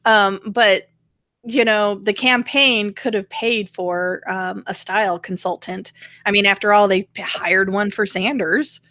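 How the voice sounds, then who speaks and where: A woman's voice: mid-range voice, fairly even intonation, unhurried, pushed and bright, no echo. A woman co-hosting a casual political gossip chat, talking with dry, sardonic humor. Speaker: show co-host.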